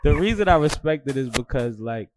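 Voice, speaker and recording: A man, hosting a casual barstool podcast chat, laid-back and swearing freely, with loud laughing asides. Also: medium pitch, lively intonation, fairly quick. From Philadelphia. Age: 30s. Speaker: podcast host. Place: small studio.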